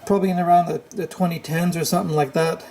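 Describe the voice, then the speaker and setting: A man giving an unscripted, hands-on repair walkthrough, thinking aloud in a halting, tentative way. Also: fairly high pitch, fairly even intonation, vocal fry, darkish tone, slight background noise. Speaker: repair tutorial host.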